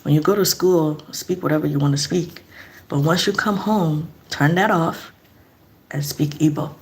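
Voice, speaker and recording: A woman's voice: low-pitched, normal speed. A middle-aged woman from eastern Nigeria, speaking in a casual, earnest vlog monologue and asking reflective questions. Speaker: vlogger.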